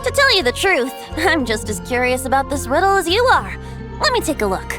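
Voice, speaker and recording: A woman's voice: high-pitched, very animated, fairly quick. A woman voicing a playful young male bard god in a game, cheerful and teasing with frequent laughs. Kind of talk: game voice acting. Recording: game audio with music behind.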